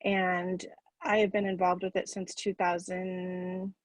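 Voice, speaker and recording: A woman volunteer giving an informal news interview, rephrasing haltingly as she goes. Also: on the low side, little pitch movement, no echo. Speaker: charity volunteer.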